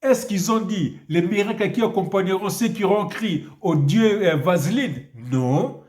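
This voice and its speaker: A man preaches in a somewhat high voice and sounds stuffed up from a cold. He delivers his sermon emphatically and keeps asking the listener questions. The room around him echoes. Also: a little slow, a little mellow. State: has a cold. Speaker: priest. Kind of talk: video sermon with prayer and Bible reading.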